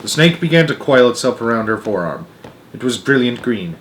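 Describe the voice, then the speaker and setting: A man with a mid-pitched voice reads a children's novel aloud. He narrates expressively, as a storyteller to listeners. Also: very animated, brisk, fairly bright tone, a little hiss or hum.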